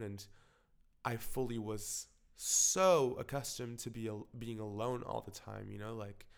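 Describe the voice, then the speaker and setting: Young man, mid-pitched, smooth clear voice a bit stuffy from a cold, confessional vlog ramble. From Portugal. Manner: frank, rambling, heavy filler words and swearing. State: slightly congested with a cold. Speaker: vlogger. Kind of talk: candid personal vlog monologue.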